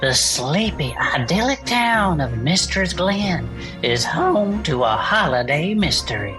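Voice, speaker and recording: A woman playing a folksy, sentimental gnome who hosts a comedic podcast about cryptids, talking in an effusive, drawn-out way. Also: fairly low pitch, sing-song, over music. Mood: spooky, dramatic. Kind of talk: improvised comedic fiction podcast.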